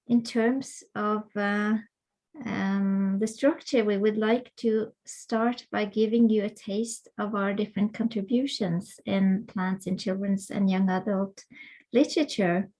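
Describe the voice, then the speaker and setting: A woman's voice: medium pitch, level tone, slow, a little mellow, poor audio. A woman with a mid-range voice opens an academic webinar over a video call. She speaks with many ums and uhs, stopping often to find her words. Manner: hesitant, many fillers. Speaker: webinar host and co-editor. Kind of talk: academic panel introduction.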